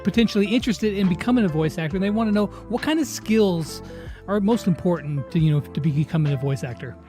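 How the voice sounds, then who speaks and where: A man's voice: high-pitched, fast talker, mellow, soft background music. A man hosting a casual interview, agreeable and upbeat as he puts questions to his guests. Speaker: interview host.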